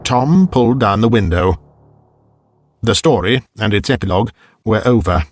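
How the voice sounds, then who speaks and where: A man narrating a Victorian ghost story as an audiobook in a measured, deliberate storytelling manner. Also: lowish voice, faint music behind.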